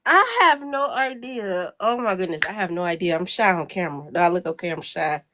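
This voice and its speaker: A woman's voice: fairly low pitch, animated, a little fast, pushed and bright, a little hiss or hum. A woman author getting over a cold, sounding a bit congested, talking in a rambling, hesitant way with many fillers over a video call. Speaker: guest author. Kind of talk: casual interview chat.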